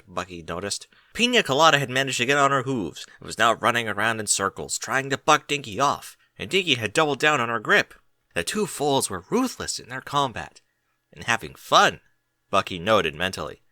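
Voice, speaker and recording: A man's voice: medium pitch, big pitch swings, a little fast, sharp tone, no echo, studio quality. A man reading a story aloud as scripted narration. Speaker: audiobook reader of fan fiction.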